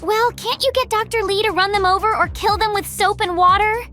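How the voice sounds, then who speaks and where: A woman voicing a spirited, excitable young girl who exclaims eagerly in a comic dub. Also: very high voice, fairly quick, over music. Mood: urgent, bossy.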